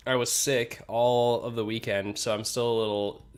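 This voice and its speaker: A man's voice: mid-range voice, flat delivery, normal speed, clean tone. A young man with a stuffy, congested voice, co-hosting a podcast in a casual, rambling chat that is full of "like.". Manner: laid-back, rambling, says "like" a lot. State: congested from a recent sinus infection. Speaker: podcast co-host.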